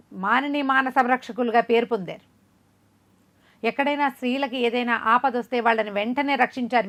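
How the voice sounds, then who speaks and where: A woman storyteller giving voice to a wronged young woman who pleads earnestly before the king. The voice sits fairly high and stays close to the teller's own, an earnest appeal read aloud in a spoken folk tale. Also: fairly bright tone, close to an everyday voice.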